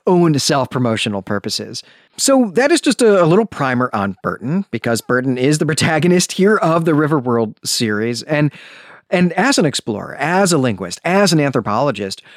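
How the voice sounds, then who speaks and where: Guy doing book podcast commentary, mid pitched voice, really dry sound, kinda lecturey but conversational, rewords stuff as he goes. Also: dry recording. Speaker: podcast host.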